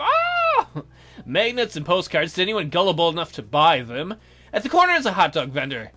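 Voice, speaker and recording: A man's voice: high-pitched, bright, forceful tone. A man hosting a let's play, reading a visual novel aloud in a theatrical, jokey way with asides.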